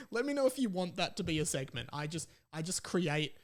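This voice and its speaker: A man's voice: high-pitched, big pitch swings, moderate pace. Young Australian man, casual podcast host. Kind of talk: casual solo podcast chat.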